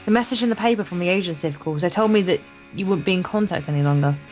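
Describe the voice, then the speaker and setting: Woman reading as an earnest lovestruck schoolgirl, lower voice. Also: very fast, darkish tone, soft background music, lightly acted, rough recording. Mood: confused, insistent. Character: earnest, lovestruck, deluded teenage schoolgirl in a visual novel.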